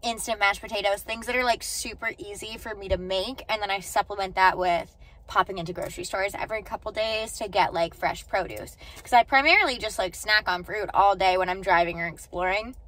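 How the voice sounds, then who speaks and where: A woman's voice: mid-range voice, fairly quick, fairly bright tone. Young female vlogger in her twenties, casual and upbeat, phone held at arm's length. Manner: chatty, upbeat, lots of "like" fillers. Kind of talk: casual vlog chat. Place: in a car, then outdoors by a car hatch.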